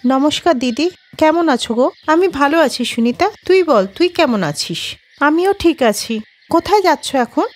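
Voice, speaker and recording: A woman voicing a feisty young housewife and mother in a cartoon, in an animated, expressive way. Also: high-pitched, normal speed. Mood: friendly, homely.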